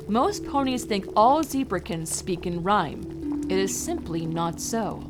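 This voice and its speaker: Regal pony princess and patient mentor voiced by a woman, stately yet very lively intonation, music behind. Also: medium pitch, natural voice. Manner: stately, explanatory. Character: regal pony princess, kindly amused teacher. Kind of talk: dramatic fan fiction audio reading.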